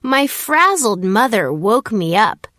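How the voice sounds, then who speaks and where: A woman's voice: mid-range voice, sing-song, slow pace, a bit bright, no echo, clean studio sound. A woman narrating a children's story in rhyme, read aloud for young listeners.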